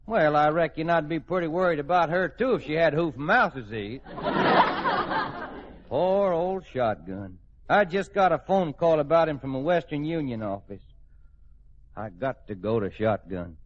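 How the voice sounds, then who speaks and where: A man's voice: on the high side, sing-song, unhurried, a little hiss or hum. An actor playing a folksy Texan oil man with a rustic drawl in an old-time radio comedy. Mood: mournful. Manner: drawling, rustic cowboy talk. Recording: dull vintage radio recording with studio audience.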